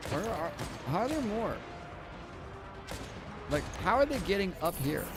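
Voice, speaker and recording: A man's voice: high voice, big pitch swings, a little slow, over music. A man giving casual live commentary as he streams a game, with a wry, sarcastic, grumbling manner.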